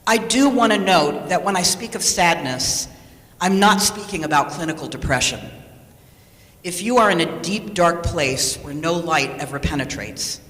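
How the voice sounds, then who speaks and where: In an echoey hall with long reverb, a woman reads a sermon from a script. Her delivery is measured and deliberate, and her pitch moves in a lively, wide range. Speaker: lay speaker reading a sermon.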